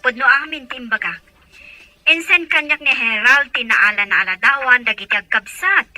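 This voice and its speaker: A woman's voice: fairly high pitch, moderate pace, bright, forceful tone, rough recording. A woman playing an earnest, concerned mother in an Ilocano audio drama. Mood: earnest, explaining. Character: earnest mother and older sister.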